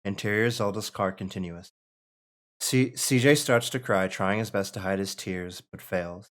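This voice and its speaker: A man's voice: on the low side, a little slow. A man reading screenplay stage directions as narrator of a script table read.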